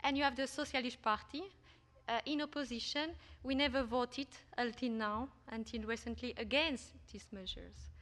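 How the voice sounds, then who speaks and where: A woman's voice: fairly high pitch, steady tone, moderate pace, fairly bright tone. Woman presenting in an echoing hall. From Belgium. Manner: hesitant, frequent "uh" fillers and restarts. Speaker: researcher presenting a paper. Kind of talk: academic conference talk. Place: conference hall.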